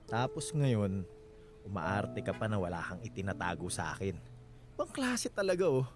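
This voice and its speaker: An actor playing a bitter, jealous husband in a Filipino radio drama, curt, sarcastic and accusing. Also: male voice, lowish voice, very animated, normal speed. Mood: sullen, sarcastic.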